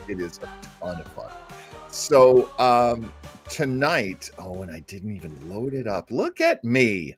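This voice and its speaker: An older man hosting an old-time radio show in a casual livestream chat, rambling, effusive and self-deprecating. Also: medium pitch.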